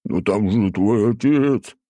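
A man's voice: on the low side, takes it slowly, mellow, no echo, voice pushed up. A man voicing a hapless, boastful demon lord in an audiobook, bleating and blustering like a whiny husband. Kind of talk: audiobook character acting.

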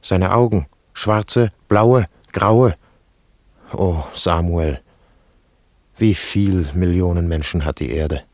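A grieving shoemaker speaks from a radio drama, gravelly and extremely deep. He sets down short, weighty phrases slowly, like a solemn recitation of mourning. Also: a little mellow, no echo, clean studio sound. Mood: pleading, searching.

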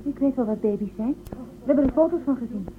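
Woman acting an earnest, high-voiced young spacefarer. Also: fast, natural voice. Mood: defiant, protesting. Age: 20s. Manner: earnest, protesting. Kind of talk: Dutch radio drama acting. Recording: tinny phone-like band, loud background noise.

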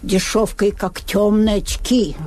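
Актриса играет храбрую, несгибаемую замужнюю даму, эмоционально и выразительно, в советской радиопостановке. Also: female voice, medium pitch, level tone, slow pace, lightly acted. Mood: brave, dismissive. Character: brave, indignant married American lady, plucky and unbowed. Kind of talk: Soviet radio drama acting.

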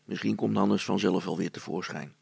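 A cautious, worried man from a children's storybook, as a male narrator plays him. He mutters hesitantly in a very creaky voice, recorded dry and close. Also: low voice, steady tone, normal speed, no echo, full character voice. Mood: hesitant, resigned. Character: Brilstra, worried, hesitant man searching for his boy.

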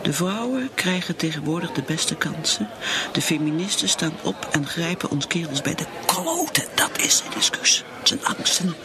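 A woman's voice: low-pitched, sing-song, normal speed, very noisy. An actress with a Netherlands Dutch accent playing a lonely, bitter middle-aged mother, a drinker in denial, brooding and repeating herself in radio drama. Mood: bitter, mocking.

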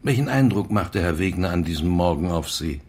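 An actor playing a probing police inspector in a radio crime drama, measured, formal and insinuating as he questions. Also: sits low, expressive, a little mellow, breathy edge, full character voice. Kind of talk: naturalistic German radio play interrogation.

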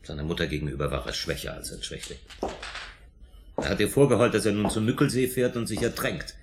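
A man's voice: fairly low pitch, a little fast, background noise, close to an everyday voice. A man acting in a German radio drama as a defensive, indignant suspect under police questioning, emphatic and self-justifying. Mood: exasperated.

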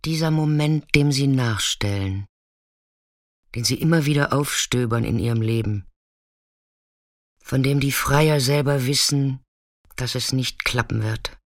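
A man acting in a radio play as a weathered, fearless narrator, speaking in an understated, intimate way with hushed moments. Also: medium pitch, unhurried, pauses often, no echo, clean studio sound, close to an everyday voice. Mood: matter-of-fact, confiding.

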